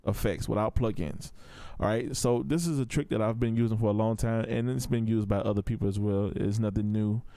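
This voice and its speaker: A man's voice: fairly low pitch, steady tone, a little fast, hardly any pauses, dark tone, no echo. A man giving a casual, step-by-step tutorial in a laid-back, informal way. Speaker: audio production tutor.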